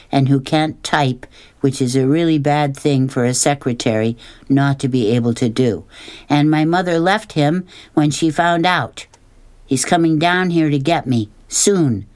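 A stubborn, outspoken little girl, as a man puts her on for a storybook reading, brisk and bossy. Her voice sits high and insistent, arguing every point. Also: a little mellow, close to an everyday voice. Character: bold, stubborn young girl, outspoken and defiant.

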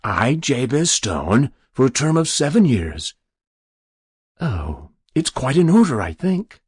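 Man playing a smooth, sinister, leisurely devil. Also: mid-range voice, sing-song, slow pace, no room sound, clean studio sound, voice pushed up, exaggerated voice. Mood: coolly businesslike. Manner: easy, unctuous, mock-pious, precise diction. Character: smooth sinister devil posing as genteel businessman. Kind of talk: dramatic literary storytelling.

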